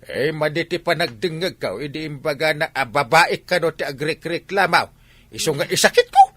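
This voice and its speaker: A man with an Ilocano accent playing an excitable, indignant villager in a barangay comedy drama. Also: on the high side, normal speed.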